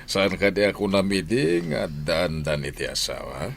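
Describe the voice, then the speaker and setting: A man with a Filipino Ilocano accent playing a kindly, calm master of the house in a radio drama. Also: low-pitched, normal speed, vocal fry, a little hiss or hum. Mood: sympathetic.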